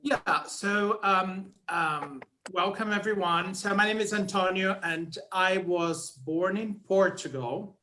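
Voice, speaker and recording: A man from Brazil, speaking as a panelist over a video call, introduces himself in a hesitant, halting way. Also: high-pitched, bright, forceful tone. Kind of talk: casual personal introduction.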